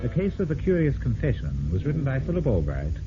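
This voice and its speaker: A man's voice: medium pitch, huge pitch swings, fast. A man reading scripted closing announcements as an old-time radio announcer. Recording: muffled vintage radio recording. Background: very loud music.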